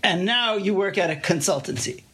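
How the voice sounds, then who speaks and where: A man's voice: high-pitched, expressive, normal speed, sharp tone, slight background noise. A man co-hosting a podcast, chatting casually, laughing often and restarting his sentences. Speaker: podcast co-host.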